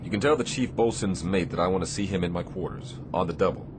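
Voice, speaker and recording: An actor playing a stern, demanding but caring Navy captain in a radio drama, with a commanding, sardonic delivery. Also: male voice, deep voice, natural voice. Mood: curt, sardonic. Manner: commanding, sardonic, deliberate phrasing. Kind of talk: radio theatre acting. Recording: clear background noise, shipboard ambience.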